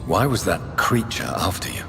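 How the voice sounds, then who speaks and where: A low, expressive British vampire doctor. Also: male voice, moderate pace, creaky. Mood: puzzled, polite. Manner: formal, polite, probing. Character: earnest gentleman doctor, newly turned vampire. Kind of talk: dramatic video game acting. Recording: long hall reverb, loud music behind.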